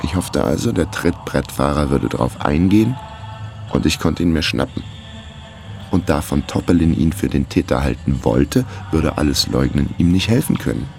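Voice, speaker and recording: A wry, laconic detective narrates a radio crime story. His voice is extremely low and gravelly, with a dry delivery. Music runs underneath. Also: expressive, normal speed, a little mellow, exaggerated voice. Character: wry male amateur detective narrating his own case. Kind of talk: scripted first-person crime radio drama narration.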